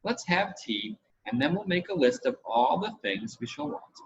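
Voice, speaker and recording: A sensible, practical young girl, the older sister as a male reader plays her, in a children's storybook. The voice is raised in pitch and organising in manner, on a dull recording with faint noise. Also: big pitch swings, normal speed, gravelly, exaggerated voice.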